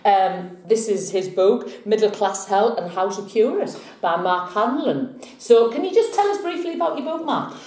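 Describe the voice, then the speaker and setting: A woman's voice: medium pitch, expressive, normal speed, darkish tone. A woman hosting a vlog, chatting playfully and telling jokes. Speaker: vlog host. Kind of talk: casual chat and joke telling. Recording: roomy echo.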